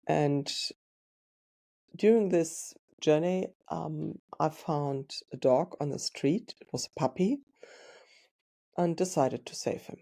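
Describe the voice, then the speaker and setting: A woman with a German accent hosting her own podcast. She tells her story in a slow, reflective monologue and often searches for words. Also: deep voice, creaky, mellow. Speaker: podcast host and dog trainer.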